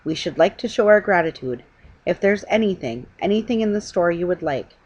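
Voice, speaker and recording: A woman's voice: lowish voice, animated, fairly quick, darkish tone, poor audio. A woman voicing a pompous, important male store manager from a storybook, declaiming grandly and emphatically. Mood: grateful, grand.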